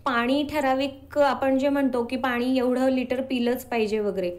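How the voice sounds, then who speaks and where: A woman's voice: high voice, steady tone, moderate pace, a little mellow. A woman answering as an interview guest, earnestly explaining and mixing in English words.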